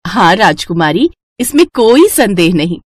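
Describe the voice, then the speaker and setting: A woman voicing a timid maidservant in a cartoon story, deferential and hesitant as she flatters her mistress. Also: medium pitch, measured pace, slightly rough, no echo, studio quality. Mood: deferential, worried.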